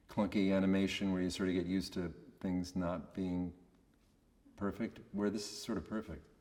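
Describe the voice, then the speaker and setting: A man giving a casual, reflective interview in a monotonous voice, hesitant and understated as he searches for words. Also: sits low, long reverb tail. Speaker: voice actor being interviewed.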